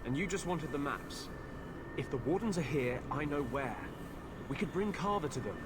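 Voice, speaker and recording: Actor as earnest renegade mage, noisy ambience. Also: male voice, fairly high pitch, expressive, normal speed, close to an everyday voice. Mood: guarded, serious. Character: guarded, earnest renegade mage, adult man. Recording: game audio, noisy outdoor ambience.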